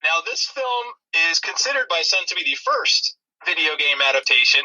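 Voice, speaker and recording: A man's voice: a little high, very animated, moderate pace, pushed and bright, poor audio. A man co-hosting a movie review podcast, chatting in a dry, disparaging way. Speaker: podcast co-host.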